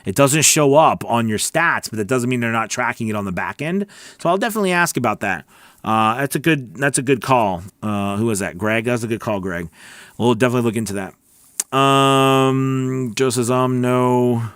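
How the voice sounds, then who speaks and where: A man's voice: mid-range voice, normal speed, a bit bright, no room sound, clean studio sound. A man hosting a live voice-over class, reading viewer questions aloud and answering them in a chatty, encouraging way with many restarts. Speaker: voice-over coach and livestream host.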